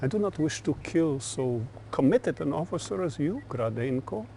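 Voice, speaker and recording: An actor playing an older Soviet dictator in a video game, ominous and deliberate. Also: male voice, fairly high pitch, lively intonation, vocal fry, warm tone, slight background noise. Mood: menacing, cold. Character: menacing Soviet dictator, older man, boastful and theatrical. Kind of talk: video game cutscene acting.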